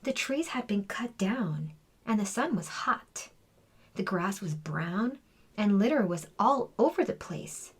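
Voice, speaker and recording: Woman, children's book reader, scripted storybook narration, mid-range pitch. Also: animated, takes it slowly, breathy edge.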